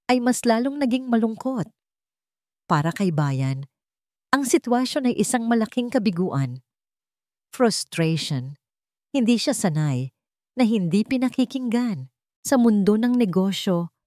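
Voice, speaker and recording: A woman's voice: lowish voice, darkish tone, no room sound, clean studio sound. A woman reading scripted dramatic narration of a romance story as the storyteller.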